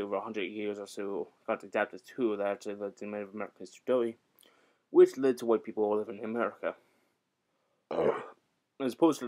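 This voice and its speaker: A young man in a home basement rambling through comic history in a deliberately bad, put-on Scottish accent, swearing and a bit tipsy. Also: on the low side, darkish tone. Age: young adult. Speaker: vlogger. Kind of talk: rambling comedic history vlog.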